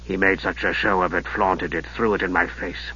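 A man voicing a frail, sardonic old archmage in a soft, low voice that drops to whispers and a slow, cutting delivery, on a dull lo-fi recording. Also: fairly even intonation, husky, heavily acted. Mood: cold.

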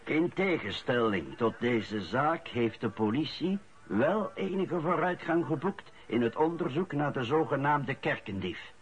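An actor playing a scoffing Flemish husband in a radio drama, with a theatrical, drawn-out delivery. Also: male voice, medium pitch, expressive, lightly acted. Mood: dry reading. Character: mocking Flemish husband reading a love letter aloud. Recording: dull lo-fi radio play recording.

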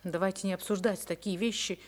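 A woman's voice: medium pitch, fairly even intonation, brisk, close to an everyday voice. Actress as proud, defiant accused woman. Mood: restrained, proud. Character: proud, indignant young married daughter under accusation. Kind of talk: radio drama acting.

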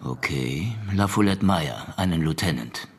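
An actor playing a curt, guarded political power broker in a radio crime drama, terse and businesslike. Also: male voice, very deep voice, expressive, measured pace, breathy edge, full character voice. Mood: cool, calculating.

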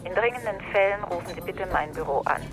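A woman's voice: fairly low pitch, fast talker, natural voice. An actress playing a businesslike woman recording a voicemail greeting in a radio drama. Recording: voicemail message under music.

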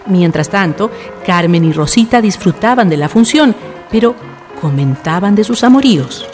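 A woman's voice: mid-range voice, animated, normal speed, a little mellow. A woman narrating a radio drama in a scripted storytelling style. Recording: music behind the voice.

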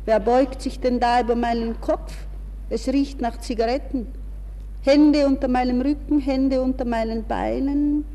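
An actress playing a distraught young woman in a hushed, dreamy, intense inner monologue in a 1960s radio play. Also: high voice, steady tone, unhurried. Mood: dreamy dread. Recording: reverberant 1960s radio recording with hiss.

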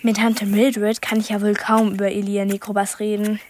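A woman's voice: medium pitch, little pitch movement, normal speed, darkish tone, a little hiss or hum, close to an everyday voice. An actress playing a brooding thirteen-year-old girl thinking aloud in a radio drama inner monologue.

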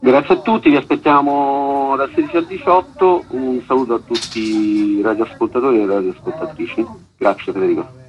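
A man's voice: medium pitch, normal speed, lo-fi sound. A man speaking informally as a guest in a radio interview. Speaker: festival artistic director, interview guest.

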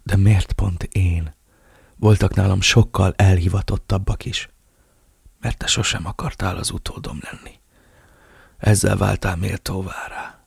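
A man voicing a dying older crime boss who speaks in a weak, breathy near-whisper. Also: low-pitched, steady tone, no room sound, studio quality, exaggerated voice. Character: dying old male crime boss, proud.

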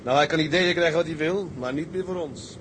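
An actor playing a shrewd, unflappable tugboat captain with sly humour in a Dutch sea drama, measured and dry. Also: male voice, a little high, background noise, lightly acted. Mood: slyly cheerful.

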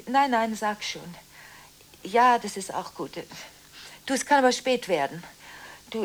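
An actress playing a distraught, contradictory woman in a radio drama, talking on the phone in a halting, agitated way with repeated words and broken-off phrases. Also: medium pitch. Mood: impatient, nervous, then defensive, bitter. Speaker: lead actress in a radio play. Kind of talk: 1980s German radio drama acting, telephone monologue.

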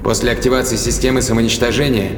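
A man's voice: medium pitch, fairly even intonation, quick pace, lightly acted. An actor playing a bossy, curt spaceship crewman who lays out orders in a science fiction audio drama. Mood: curt, impatient. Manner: commanding, curt, explanatory. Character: tense, bossy male spaceship crewman planning an ambush. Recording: echoey hall reverb under music. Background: music.

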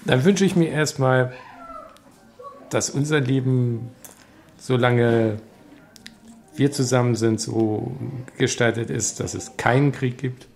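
A woman talking casually and reflectively, in measured, deliberate phrases. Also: very deep voice, a little mellow. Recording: echoey room, faint noise.

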